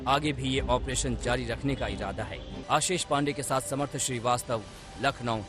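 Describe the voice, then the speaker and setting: A man's voice: medium pitch, normal speed, over music. A man reading a dramatic scripted news report in an emphatic, punchy manner.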